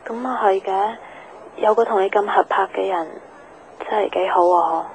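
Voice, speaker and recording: A woman's voice: medium pitch, normal speed, a little hiss or hum, natural voice, poor audio. A woman acting a busy, guarded, jealous young girlfriend in a radio drama, conversational, with a Hong Kong accent. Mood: guarded, piqued.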